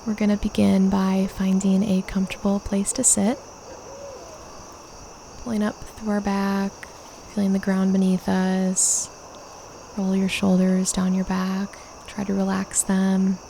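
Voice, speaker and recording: A woman leading a guided meditation, calmly and gently instructing with unhurried pauses. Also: mid-range voice, gravelly, slight background noise, rough recording.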